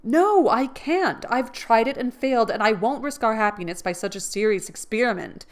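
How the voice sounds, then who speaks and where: A woman reads a spirited young heroine in a storybook, her voice raised, higher and emphatic. She speaks with frank, earnest conviction. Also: normal speed, natural voice.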